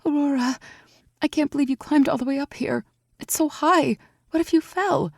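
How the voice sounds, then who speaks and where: A woman voicing an emotional, excited young winged heroine in a storybook. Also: high-pitched, moderate pace, vocal fry, dry recording, clean studio sound, voice pushed up. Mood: overjoyed.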